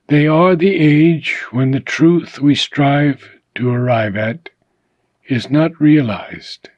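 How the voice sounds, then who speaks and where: A man reading philosophical prose aloud in a measured, deliberate manner, phrase by phrase with pauses. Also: mid-range voice, a little mellow, husky, no room sound. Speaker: reader.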